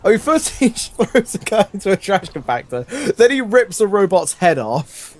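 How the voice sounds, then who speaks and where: A man's voice: sits high, very animated, normal speed. A young man casually reading fan fiction aloud and commenting on it in a mocking, amused way. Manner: mocking, often breaks up laughing. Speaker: gaming video host reading fan fiction.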